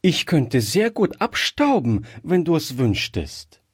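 A man voicing a bright, innocent little girl in a storybook, earnest and inquisitive. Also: a little high, very animated, slow, breathy edge, dry recording, studio quality, pitched above the performer's own voice, exaggerated voice. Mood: eager, confident. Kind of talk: acted storybook dialogue.

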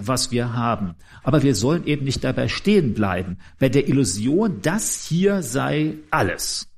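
A man's voice: fairly high pitch, slightly rough. A man preaching in an informal, conversational and explanatory way, addressing his listeners directly. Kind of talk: informal sermon.

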